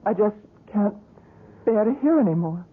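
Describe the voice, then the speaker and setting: A woman's voice: medium pitch, animated, husky. An actress playing a shaken young woman visitor who speaks hesitantly in a 1970s radio drama. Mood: distressed. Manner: hesitant, halting speech. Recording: tinny lo-fi radio broadcast.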